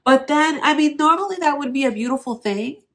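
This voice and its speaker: A woman's voice: high-pitched, brisk, a bit bright. A woman with a nasal, stuffed-up voice giving a tarot reading, speaking directly and earnestly to the viewer. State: nasal from allergies. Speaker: tarot reader.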